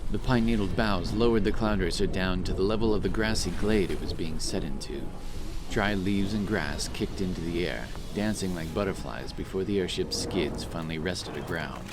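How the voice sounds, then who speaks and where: A man's voice: low voice, moderate pace, noisy background. A man reading scripted fantasy fiction as a dramatic audiobook narrator.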